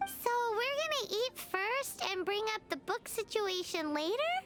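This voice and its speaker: A woman voicing a tiny childlike fairy companion in a video game, squeaky and nosy. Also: big pitch swings, measured pace, a bit bright, soft background music, full character voice. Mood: questioning, cheeky.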